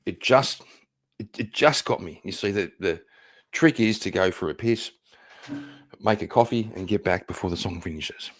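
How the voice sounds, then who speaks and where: A man's voice: low voice, normal speed. A man from Northern Ireland chatting casually on a livestream, blunt and profane, with many fillers. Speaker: streamer.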